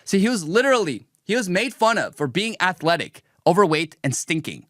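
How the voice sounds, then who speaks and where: A man's voice: sits high, very animated, a little fast, a bit bright, dry recording, studio quality. A young man streaming a mocking, combative political rant, talking emphatically as a show host. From raised in the United States. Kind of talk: livestream reaction rant.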